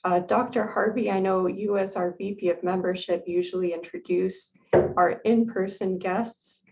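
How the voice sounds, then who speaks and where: A woman's voice: medium pitch, fairly even intonation, normal speed, vocal fry, a little mellow. Welcoming woman on a dull lo-fi recording. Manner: friendly, welcoming. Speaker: club president. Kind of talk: meeting chairing. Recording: dull lo-fi sound.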